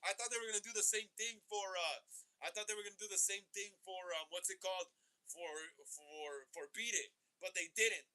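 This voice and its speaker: High, bright-voiced man rambling on stream. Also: normal speed, rough recording. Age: 30s. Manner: rambling, many fillers and restarts, calls viewers "bro". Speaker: host of a horror movie livestream. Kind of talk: casual live-stream chat, reading viewer comments.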